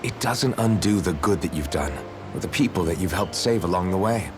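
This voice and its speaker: A man playing a steady, considerate young adventurer in a video game, speaking plainly and earnestly. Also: low voice, a little fast, music in the background. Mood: gentle, reassuring.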